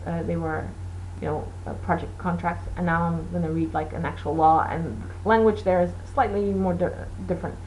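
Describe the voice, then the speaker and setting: Woman host, Portuguese native, low-ish voice, easygoing rambling delivery with faint background noise. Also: steady tone, moderate pace, few pauses, a little mellow, rough recording. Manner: rambling, chatty, many fillers. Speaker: knitting podcast host. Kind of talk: casual chat.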